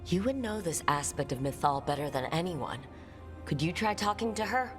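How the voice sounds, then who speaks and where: A woman's voice: fairly low pitch, lively intonation, normal speed, natural voice. An actress playing a plainspoken, wry woman adventurer in a fantasy game, speaking casually and directly with a questioning manner. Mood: inquiring. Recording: studio voice over game music. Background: background music.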